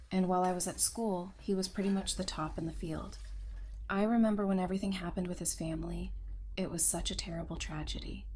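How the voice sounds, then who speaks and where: Casting: female actor for an empathetic, educated widow surviving the apocalypse; slightly low voice, gentle and sympathetic, audio drama. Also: a little hiss or hum, lightly acted. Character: empathetic widowed woman survivor, former forensic psychiatrist. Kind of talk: fan audio drama acting.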